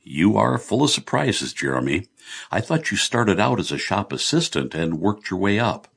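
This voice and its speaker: A man's voice: on the low side, very animated, normal speed, gravelly, a bit bright, slightly rough, pitched above the performer's own voice, exaggerated voice. A man voicing an affable, confident architect in an audiobook, chummy, agreeable and mildly amused. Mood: amused, curious. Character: George, affable, confident male architect, amused old friend.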